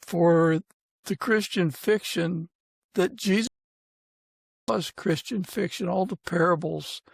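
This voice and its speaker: A man hosting a conversational podcast, with halting, gentle phrasing. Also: high-pitched, creaky, husky, no echo. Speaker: podcast host. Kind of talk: conversational interview and informal prayer.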